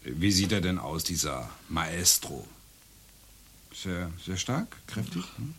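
A man's voice: low-pitched, sing-song, takes it slowly, a bit bright, a little hiss or hum, heavily acted. A man acting a flustered, wry university lecturer in a radio play, stammering and conversational, with theatrical delivery. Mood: resolute.

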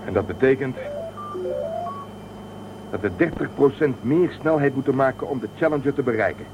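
A man's voice: medium pitch, animated, moderate pace, background noise, lightly acted. An actor playing a wry, authoritative man in command aboard a spaceship in a Dutch science fiction radio drama. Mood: wry, grim.

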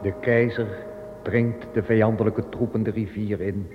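A man narrating a radio drama in a measured storytelling manner. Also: lowish voice, flat delivery. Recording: reverberant dull recording with music.

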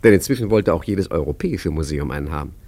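An actor playing a genial, self-satisfied ageing art forger in a radio play, chatting urbanely and wryly with touches of self-pity. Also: male voice, extremely low pitch, animated, fast talker, dark tone. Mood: smug, confiding. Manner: chatty, wry, urbane and self-pitying, with small chuckles. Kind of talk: German radio play narration and dialogue.

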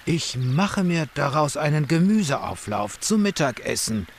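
A man's voice: a little high, very animated, unhurried, gravelly, slight background noise, full character voice. A man playing a solitary, stubborn monk in a children's radio play, with a savoring, emphatic delivery. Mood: contented, savoring. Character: solitary Buddhist monk, content but grudging and stubborn.

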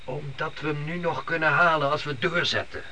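Radio-play patriarch: solemn, strict, sharp-edged voice, faint background noise. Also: male voice, on the high side, steady tone, moderate pace. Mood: resolute. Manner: commanding, solemn. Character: stern, devout father leading his family through the desert. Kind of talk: Dutch radio play acting. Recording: noisy old radio drama recording.

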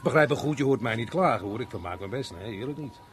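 A man's voice: mid-range voice, lively intonation, quick pace, a little hiss or hum, lightly acted. A man acting in a Dutch radio play as a jovial, wry, middle-aged unemployed chatterbox who jokes with his neighbour. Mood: chatty, wry. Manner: chatty, joking, chuckling, wry.

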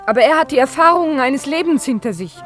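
A woman's voice: high voice, expressive, quick pace, a bit bright. An actress playing a tender young poor woman in love, girlish and emotional, in a 1950s German radio drama. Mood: anxious, tender. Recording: 1950s radio recording, music behind.

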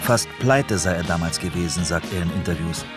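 A man's voice: sits low, a little fast, over music. A man narrating a scripted radio documentary.

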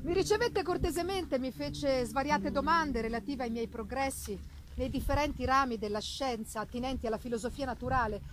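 A woman giving a dramatic literary narration in the first person, deliberate and theatrical, pausing for effect. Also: sits high. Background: live musical accompaniment.

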